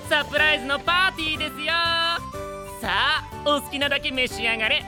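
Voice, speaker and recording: A man's voice: extremely high pitch, fairly quick, music in the background. A male actor playing a sly, pleasure-seeking young trickster in an anime-style audio drama, teasing in polite, theatrical speech. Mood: playful.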